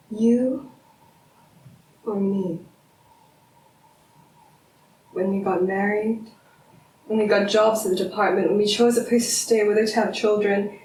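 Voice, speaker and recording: A woman's voice: mid-range voice, natural voice. Woman acting a volatile, bitter wife, echoey room. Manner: theatrical, sardonic, swinging into shouts. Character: bitter, menacing academic wife, taunting and volatile. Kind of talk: stage drama acting. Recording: roomy, distant mic, faint noise.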